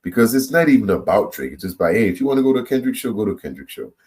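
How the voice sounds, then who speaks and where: A man from Trinidad and Tobago hosting a reaction channel, giving his opinions in a loose, animated monologue to viewers, often repeating and restarting words. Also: lowish voice, rapid-fire.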